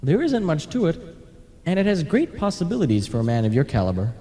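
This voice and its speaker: Sardonic radio-play ghost, male actor, smooth flatterer, mellow tone with swooping pitch, reverberant tape. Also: quick pace. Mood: slyly scheming. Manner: flattering, smooth-talking, insinuating. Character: sly, sardonic male ghost, genially plotting. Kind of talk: radio comedy drama acting.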